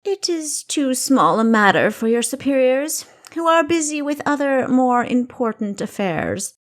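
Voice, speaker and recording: A woman voicing a wicked, commanding king in an audiobook, mocking and deliberate in the way he speaks. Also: high voice, sing-song, pitched above the performer's own voice. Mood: imperious. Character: wicked, commanding king, male, menacing and teasing. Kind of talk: audiobook character dialogue.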